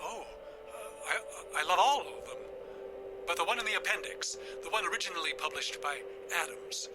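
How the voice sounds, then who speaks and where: An actor playing a frightened but defiant elderly grandfather held captive in an audio drama, speaking in a halting, urgent, affectionate way. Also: sits high, animated, background noise. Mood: tender, hesitant. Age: older.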